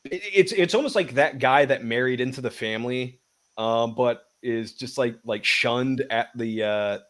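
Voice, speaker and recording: A man's voice: medium pitch, normal speed, a bit bright. A man co-hosting a casual chat, telling rambling stories with profanity and stumbles. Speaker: co-host.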